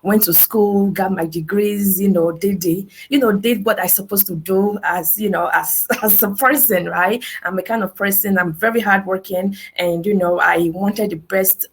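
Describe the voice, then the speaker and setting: Lady, 30s, husky voice, earnest testimonial talk, lots of "you know" and "right?". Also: medium pitch, steady tone, normal speed, few pauses. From Nigeria. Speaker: business testimonial speaker. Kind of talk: webinar testimonial. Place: her house in Nigeria.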